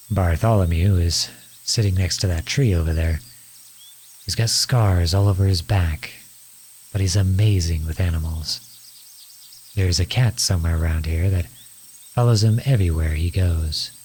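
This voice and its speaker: A man playing a kindly, teasing outlaw in an intimate ASMR roleplay, gentle and reassuring. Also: sits low, a little hiss or hum. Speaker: audio roleplay voice actor.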